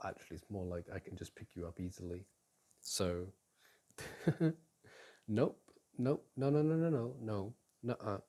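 A young man in an intimate roleplay as a boyfriend, talking softly and teasingly to his partner, often sighing and chuckling. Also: fairly low pitch. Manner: soft and teasing, with frequent sighs and chuckles. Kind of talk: intimate ASMR roleplay, speaking to an unseen partner. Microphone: close microphone.

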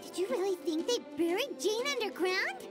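Squeaky tiny floating fairy sidekick from a game, bouncy high voice swinging all over, slow and chatty, music under it. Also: female voice, animated. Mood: excited. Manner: bubbly, exclamatory. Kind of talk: game cutscene dubbing.

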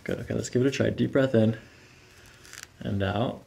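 A man in his thirties, a chiropractor, quietly guiding a patient in an ASMR style with soothing, repetitive short cues. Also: medium pitch, steady tone, moderate pace, creaky. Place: small carpeted treatment office. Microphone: boom-arm microphone near the treatment table. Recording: noisy room recording with clicks.

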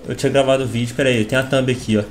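A young man from Brazil chatting casually in a home vlog, excitable and laughing, with a stuffy nose from a cold. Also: mid-range voice, very fast. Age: young adult. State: congested with a cold. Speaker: gaming youtuber. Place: small room at home.

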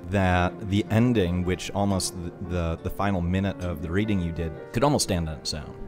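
A man with a very low voice discusses literature as a podcast co-host. His phrasing is reflective and halting, and music plays clearly behind him. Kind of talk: conversational literary analysis.

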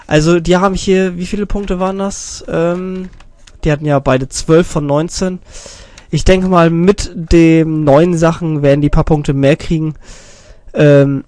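Young guy w a bit of a cold, higher voice, dry close desk mic, rambly and full of ums. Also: fairly even intonation, a little slow, darkish tone, no echo. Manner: rambling, self-correcting, many fillers. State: slight cold, clearing his throat. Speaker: YouTube channel reviewer. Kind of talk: casual screen-recorded commentary. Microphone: desk stand microphone.

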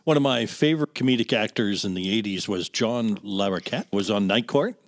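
A man's voice: on the low side, lively intonation, a little fast. A man hosting a podcast, asking questions in a conversational interview style.

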